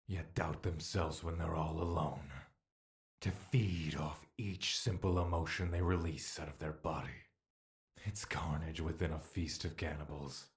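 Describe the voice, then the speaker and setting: Audio drama role: an age-old malevolent spirit, cavernously deep and unhurried, taunting and tempting with theatrical menace. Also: male voice. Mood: brooding contempt. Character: ancient male spirit trapped within a mortal, scornful, bloodthirsty, tempting.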